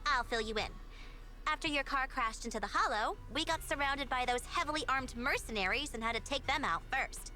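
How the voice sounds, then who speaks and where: A woman voicing a brash, teasing young mercenary leader in an anime-style game, with a sassy, expressive delivery. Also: high-pitched, fairly quick, faint music behind. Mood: explaining.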